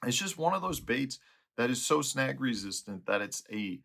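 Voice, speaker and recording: A man's voice: mid-range voice, very animated, moderate pace, creaky, bright, forceful tone. A man in his forties with a Midwestern American accent gives informal, conversational instruction to camera as a fishing guide. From Chicago area, United States. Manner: explanatory, conversational. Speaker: fishing guide and video host. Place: small indoor tackle room.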